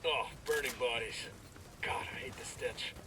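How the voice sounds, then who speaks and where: Man acting irreverent special forces soldier, mid-pitched, blunt casual talk, video game, distant amid very loud noise. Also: steady tone, normal speed, lightly acted. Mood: disgusted. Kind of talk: video game squad dialogue.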